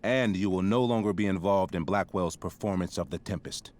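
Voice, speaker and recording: A man's voice: low-pitched, brisk, darkish tone, natural voice. A man playing a stern, pompous middle-aged school principal in a dramatic video game, speaking in formal, wordy phrases. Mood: grave, disappointed.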